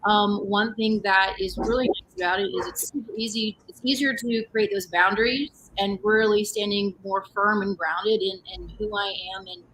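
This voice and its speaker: A woman on a video call, rambling through her answers with plenty of ums and restarts, her voice set at a middling pitch. A faint hiss of background noise sits under the line. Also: fairly even intonation, moderate pace, hardly any pauses, fairly bright tone, rough recording. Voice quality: voice says it has grown deeper. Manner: rambling with many fillers and restarts. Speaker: panel guest, energy healer. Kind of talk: casual panel chat.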